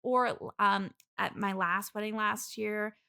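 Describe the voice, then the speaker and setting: A woman's voice: mid-range voice, fairly even intonation, slow, vocal fry, sharp tone, smooth, exaggerated voice. A woman playing an overeager, clingy single bridesmaid, gushing and chatty in an improvised comedy interview. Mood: exasperated, chatty. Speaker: interview guest.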